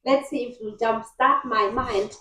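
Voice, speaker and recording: Woman, Kenyan accent, Swahili speaker, high animated voice, thinking aloud, echoey room. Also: unhurried. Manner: thinking aloud, hesitant guesses. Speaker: vlogger, quiz answerer. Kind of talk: casual chat.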